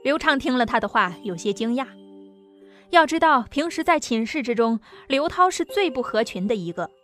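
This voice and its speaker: A woman's voice: on the high side, animated, normal speed, a bit bright. A woman narrating a novel as an audiobook, reading a dramatic script. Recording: music under the voice.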